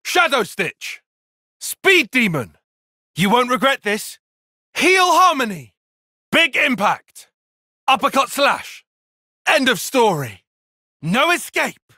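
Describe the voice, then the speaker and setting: A man's voice: very high voice, very animated, takes it slowly, pauses often, pushed and bright, no echo, clean studio sound, exaggerated voice. An actor playing a brainy young male tactician in a video game, giving clipped, commanding battle call-outs with composed intensity. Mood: battle shouting.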